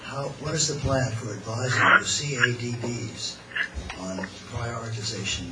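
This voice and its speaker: A hoarse man asking questions at a public meeting, joking and apologetic. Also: mid-range voice, normal speed, far from the mic. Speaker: board member. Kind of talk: meeting discussion. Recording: noisy roomy room mic from afar.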